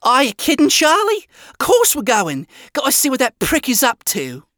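A loud, high-voiced rebel woman acted by a woman narrator. She is brash and eager, with animated swings in pitch, in a dry-sounding audio story. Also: normal speed, dry recording, exaggerated voice. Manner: yelling, brash.

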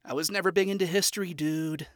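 A man's voice: high-pitched, steady tone, fast talker, a bit bright, no echo, clean studio sound, pitched above the performer's own voice. A man voicing a surly young hellhound woman who talks in a sullen, snappy way. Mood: bored.

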